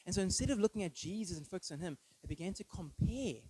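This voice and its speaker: A man's voice: high-pitched, expressive, fast talker, warm tone, breathy edge, poor audio. A thirty-year-old man giving an informal, conversational sermon with personal stories, often checking in with listeners through short tag questions. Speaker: preacher.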